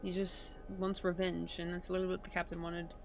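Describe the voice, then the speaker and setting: A woman's voice: on the low side, monotone, fairly quick, slight background noise. A woman game streamer giving casual, chatty, slangy reaction commentary over a playthrough.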